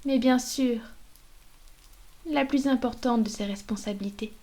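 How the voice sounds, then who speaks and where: Mellow, high-voiced haughty vampire mistress, acted by a woman. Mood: cold authority. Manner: poised, formal, condescending, deliberate. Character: haughty, menacing female vampire mistress of a noble house. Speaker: ASMR roleplay performer. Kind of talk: scripted ASMR roleplay.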